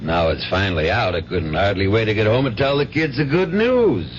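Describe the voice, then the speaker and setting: A man's voice: fairly low pitch, animated, fairly quick, background noise, lightly acted. An actor playing a teasing, easygoing father in a 1970s radio drama, talking in a playful, folksy way. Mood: teasing, cheerful. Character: easygoing, teasing father, a gambler home late. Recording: muffled 1970s radio recording, music behind.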